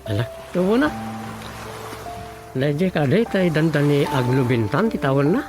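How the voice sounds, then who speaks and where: A man's voice: a little high, very animated, moderate pace, music in the background. An actor playing a man who grumbles and muses to himself in a radio drama, with an Ilocano Filipino accent. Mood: casual.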